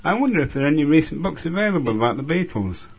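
Lively-voiced man with punning asides. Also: fairly high pitch, very fast, creaky, breathy edge. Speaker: listener sending an audio message. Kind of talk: recorded voice message, jokey trivia. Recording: noisy home recording.

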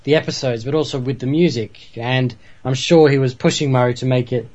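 A man's voice: medium pitch, fairly quick, a little mellow. A man co-hosting a casual podcast, thoughtful and given to pedantic corrections. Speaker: podcast co-host. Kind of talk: casual chat about a TV show. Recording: lo-fi sound.

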